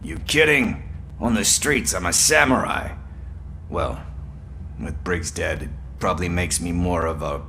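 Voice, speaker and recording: A joking, streetwise drifter from a video game. His voice is on the low side but bright and edgy, with faint noise behind it. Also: a little slow, gravelly, full character voice. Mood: cocky. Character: wisecracking, loyal street drifter and informant.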